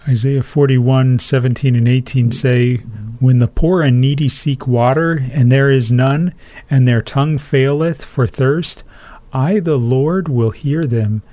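A man who interviews his guest and reads scripture aloud in a steady, measured way. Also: medium pitch.

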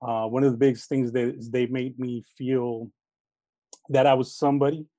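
Teacher guest, man in his thirties, Spanish-speaking upbringing, mid-pitched mellow voice, earnest and reflective, dry close recording. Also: flat delivery, moderate pace, no echo. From Texas, United States. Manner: earnest and reflective, many fillers like "uh" and "you know". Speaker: elementary school teacher, interview guest. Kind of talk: conversational interview answers.

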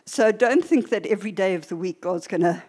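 An older woman preacher teaching a church sermon in a deliberate, emphatic way, with short pauses and repeated phrases. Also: mid-range voice, darkish tone.

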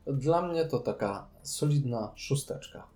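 A man's voice: medium pitch, expressive, a little slow, mellow, soft background music. A man giving casual opinions as co-host of a board game show. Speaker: podcast co-host.

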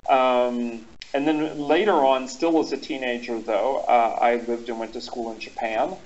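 A man's voice: medium pitch, steady tone, unhurried, a little hiss or hum. A man speaking as a guest expert in a thoughtful conversation, reflective and full of ums and restarts. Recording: dull lo-fi audio.

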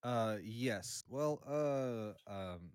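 A man who grew up in Russia, a guest chatting casually on a podcast about old games, talking with many pauses and fillers. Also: mid-range voice, animated, a little mellow, clear, resonant tone, no echo, clean studio sound.

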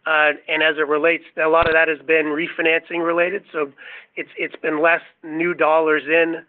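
Flat, higher-pitched man on phone line. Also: moderate pace, clean tone. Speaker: company executive. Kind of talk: earnings call answer. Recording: tinny phone-line conference call.

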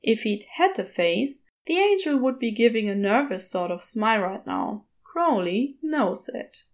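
A woman narrates a piece of scripted fiction. Her voice is fairly high, and she reads at a very unhurried pace. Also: very animated. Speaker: audiobook narrator.

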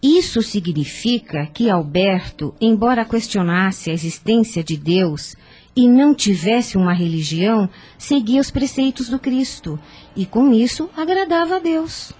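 An actress playing a wise, patient older aunt in a radio soap, giving solemn, measured spiritual counsel. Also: female voice, a little high, lively intonation, darkish tone, breathy edge. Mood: solemn, consoling. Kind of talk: radio soap opera acting. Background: music.

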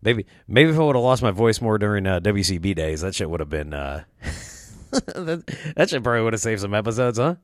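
A man's voice: fairly low pitch, no echo, clean studio sound. Laid-back, foul-mouthed man with allergies. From Quad Cities area, US Midwest. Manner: profane, rambling, laid-back storytelling. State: sore throat from allergies. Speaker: podcast host. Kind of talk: casual storytelling monologue.

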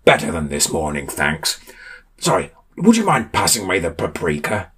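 A man voices a storybook wolf in a low, husky growl. The creature speaks slowly and is oddly polite and neighborly. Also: wildly swooping pitch, a bit bright, heavily acted. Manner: slow, growled delivery.

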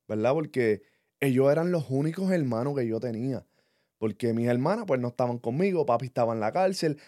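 A man in his thirties with a Puerto Rican accent, co-hosting a podcast and talking in an earnest, reflective way, often pausing on fillers. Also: mid-range voice, mellow, no room sound, studio quality. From Puerto Rico. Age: 30s. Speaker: podcast co-host. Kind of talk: casual personal chat.

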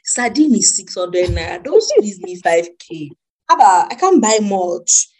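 A woman's voice: medium pitch, sing-song, unhurried, a little mellow. Playful Nigerian woman in her twenties. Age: 20s. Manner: playful, joking, laughs often. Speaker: podcast co-host. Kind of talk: casual chat.

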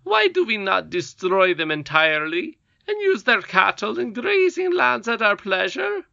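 A woman's voice: sing-song, measured pace, gravelly, sharp tone, dry recording, lo-fi sound, full character voice. A woman voicing a haughty, scheming goblin queen in a read-aloud story. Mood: imperious. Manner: shrill, sweeping, imperious.

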